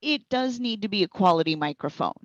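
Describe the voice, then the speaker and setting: A woman speaking as a consultant in an interview, explaining things informally with careful, measured wording. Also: medium pitch, expressive, no room sound. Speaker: speech recognition consultant and trainer being interviewed. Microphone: wireless headset. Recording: dull, band-limited internet call audio.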